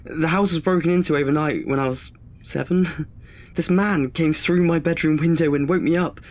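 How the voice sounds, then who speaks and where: A man's voice: a little high, fairly even intonation, moderate pace, lightly acted. A young man, a student played by an actor in an audio drama. He is chatty but anxious and hesitant, and tends to put himself down. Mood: embarrassed, anxious. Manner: hesitant, self-deprecating, nervous chuckling. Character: nervous, chatty twenty-year-old male student afraid of the dark.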